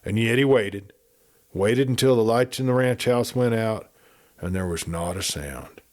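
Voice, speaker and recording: A man reading a western novel aloud in a steady storytelling manner. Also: lowish voice, measured pace. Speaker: audiobook reader.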